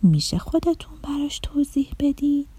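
A woman voicing a gentle, kindly dream fairy in a children's story, in a soft, coaxing manner. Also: high-pitched, sing-song, dry recording, pitched above the performer's own voice. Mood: polite.

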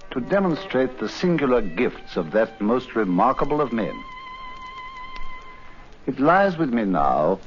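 Earnest British gentleman, wildly swooping pitch. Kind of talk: 1950s radio drama acting. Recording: muffled, noisy 1950s radio recording.

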